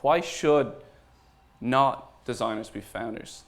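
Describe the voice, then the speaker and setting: A man's voice: medium pitch, takes it slowly, gravelly. Irish man giving an informal, earnest talk. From Ireland. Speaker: conference speaker, startup founder and designer. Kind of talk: conference talk.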